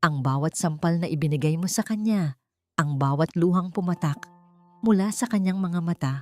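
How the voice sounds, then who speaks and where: A woman narrator playing a vengeful, protective man making deliberate threats in an audio story. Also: lowish voice, a little mellow, faint music behind, close to an everyday voice. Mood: cold vengeance.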